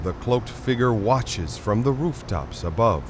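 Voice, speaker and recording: A man's voice: mid-range voice, animated, measured pace, noisy background. A man giving scripted, dramatic narration as the narrator of a radio play. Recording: music underneath.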